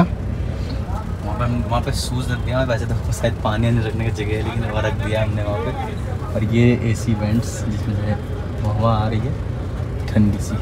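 A man, chatting casually to his phone camera as a travel vlogger and often adding little tag questions. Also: medium pitch. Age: 20s. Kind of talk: casual vlog chat to the camera. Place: mostly inside a small sleeper bus berth. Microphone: phone at arm's length. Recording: loud vehicle and road noise.

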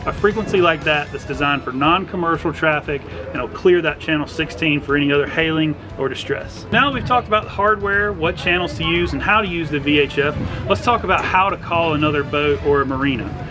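A man's voice: a little high, fairly quick. A middle-aged man presenting an instructional explainer to camera, talking through things step by step. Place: outdoors on a docked boat at a marina. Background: background music.

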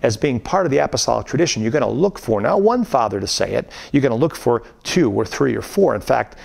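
A man's voice: medium pitch, lively intonation, fast talker, creaky. A middle-aged man giving a recorded theology course lecture in a room at home, teaching earnestly and emphatically, often restarting his sentences. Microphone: camera framed at chest, facing viewer.

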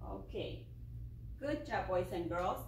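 Preschool teacher woman, very slow and drawn out, recorded from across an echoing classroom. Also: mid-range voice, lively intonation, darkish tone, a little hiss or hum. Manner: slow, deliberate, drawn-out words for small children. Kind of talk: slow circle-time teaching and read-aloud. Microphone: far camera. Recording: echoey classroom from a distant camera.

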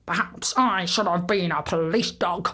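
A woman's voice: on the low side, unhurried, sharp tone. A woman voicing a squat, dim male bulldog who chatters boastfully and hungrily in a children's story. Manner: boastful, simple-minded chatter.

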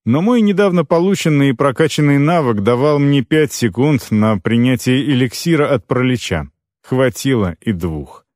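Male narrator, audiobook fiction, animated intonation, deliberate phrasing, medium pitch, dry sound. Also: dry recording. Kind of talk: scripted first-person fiction narration.

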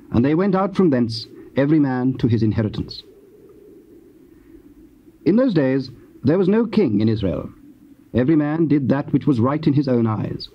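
A man narrating scripture in a solemn, measured, formal style as part of an audio drama. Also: medium pitch, natural voice. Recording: muffled hissy old cassette tape.

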